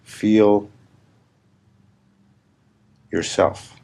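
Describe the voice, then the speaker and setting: A man leading a guided meditation as a spiritual teacher, speaking in deliberate, emphatic, repeated phrases with long pauses. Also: lowish voice, steady tone, dark tone. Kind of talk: slow guided meditation talk. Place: live gathering.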